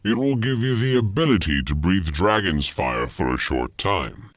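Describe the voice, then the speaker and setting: A man acts out a haughty schemer from a fantasy video game. His voice is deep and dry, with lively rises and falls. He speaks in a measured, wryly disdainful way. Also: no echo. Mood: wry, instructing. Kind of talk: video game cutscene acting.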